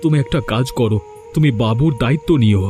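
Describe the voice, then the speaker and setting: A man's voice: medium pitch, quick pace, mellow, faint music behind, close to an everyday voice. A man with a West Bengal accent voicing a poor, life-weary village father in a cartoon, lamenting melodramatically. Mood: despairing. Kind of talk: cartoon voice acting.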